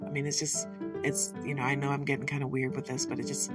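A woman's voice: very deep voice, flat delivery, fast. Chatty folksy woman, distant mic. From Detroit, USA. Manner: chatty and folksy, with self-amused asides and corny jokes. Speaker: home cook. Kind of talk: casual cooking vlog. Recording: distant mic with guitar music behind. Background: guitar music.

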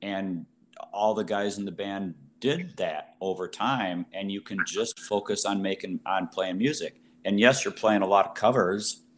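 Low-pitched band guy explaining stuff earnestly, a little background noise. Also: unhurried. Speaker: band member. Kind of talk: casual interview chat.

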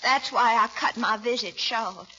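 A woman's voice: a little high, a little slow, pushed and bright. An actress playing a coquettish, melodramatic woman in a classic radio comedy, sighing and gushing. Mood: wistful, dramatic. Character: coquettish, theatrical, flattering woman, newly home, lovelorn. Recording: muffled old radio recording, faint hiss.

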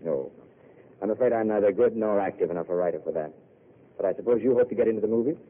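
A weary, self-mocking wanderer, played by a man, with a lowish voice and an eloquent, wry way of speaking. The sound is thin and phone-like, from an old radio broadcast. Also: fast, lightly acted. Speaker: wandering failed writer. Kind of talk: 1930s radio drama acting.

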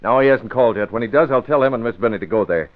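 A brisk, decisive newspaper publisher, played by a man in vintage radio drama style. His voice sits at a medium pitch with authority. The recording is thin and phone-like. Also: natural voice. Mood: brisk, urgent. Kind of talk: old-time radio drama acting.

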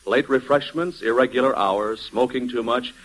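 A man's voice: mid-range voice, moderate pace, fairly bright tone, rough recording. A man announcing a commercial in old-time radio style, addressing the listeners directly.

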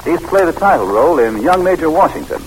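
A man with an American accent hosting and announcing on 1940s radio in a measured, emphatic, instructive scripted style. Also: a little high, big pitch swings. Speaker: radio announcer and program host. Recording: noisy vintage 1940s radio broadcast.

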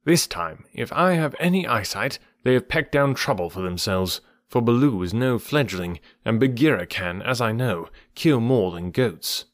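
A man's voice: medium pitch, expressive, normal speed, hardly any pauses, no echo, clean studio sound, close to an everyday voice. A man voicing a wry, knowing old male kite who talks with a chuckling tone.

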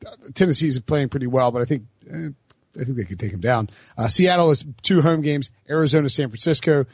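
A man's voice: medium pitch, expressive, a little fast, slightly rough, no room sound, lo-fi sound. A man hosting a sports podcast in casual chat, talking loosely with lots of fillers and easy laughs. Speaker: podcast host.

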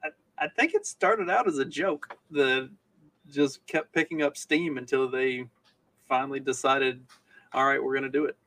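A man's voice: medium pitch, normal speed, a bit bright, rough recording. A man co-hosting a podcast, talking through the news casually and wryly, often repeating phrases for emphasis. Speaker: podcast co-host.

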